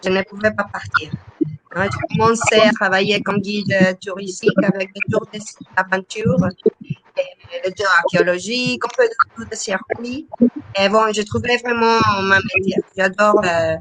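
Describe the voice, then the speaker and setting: A woman's voice: medium pitch, fairly even intonation, normal speed, a bit bright, a little hiss or hum, rough recording. Woman introducing herself on a call. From Mexico City. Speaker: tour guide. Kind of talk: casual self-introduction.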